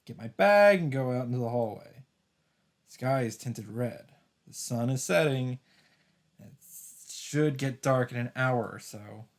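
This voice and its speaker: A man's voice: mid-range voice, lively intonation, unhurried. A man hosting a let's play, reading visual novel narration aloud and adding dramatic asides as he reacts.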